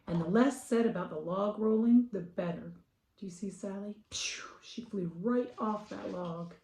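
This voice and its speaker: A woman's voice: mid-range voice, moderate pace, warm tone, a little hiss or hum. American woman reading aloud, roomy echo. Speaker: picture-book readaloud host. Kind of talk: casual introduction and expressive children's story reading.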